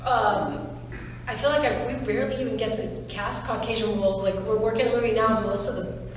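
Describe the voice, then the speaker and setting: A woman's voice: fairly high pitch, fairly even intonation, fairly quick, slight background noise. Woman, casting director, earnest panel talk with restarts; distant mic in a very echoey hall. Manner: earnest, thoughtful, lots of fillers and restarts. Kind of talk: panel discussion.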